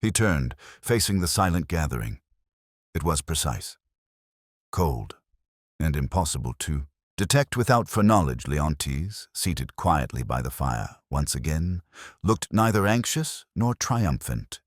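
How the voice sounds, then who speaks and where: A man's voice: extremely low pitch, very animated, a little slow, no room sound, studio quality. A man reading a scripted dramatic story as an audiobook narrator.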